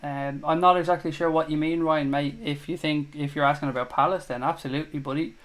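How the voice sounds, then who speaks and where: Male host, fairly high voice, blunt and jokey, swears freely. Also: fairly even intonation, quick pace, fairly bright tone. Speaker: host of a live fan chat show. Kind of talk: casual livestream chat reading comments.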